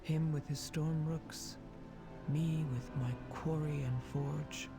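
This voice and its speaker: An earnest leader of a stone people in a fantasy game, heavy with feeling. She speaks slowly and with care, and music plays behind her. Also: low voice, steady tone. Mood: somber reflection. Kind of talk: dramatic game voice acting.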